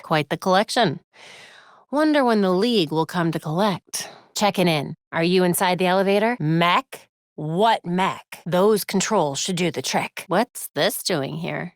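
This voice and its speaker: Young video game heroine, American accent, wry, determined, crisp, conversational, dry studio sound. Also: female voice, on the low side, dry recording. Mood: businesslike. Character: young crime-fighting superheroine, dry-witted, determined, brisk. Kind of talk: scripted video game voice lines. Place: recording studio.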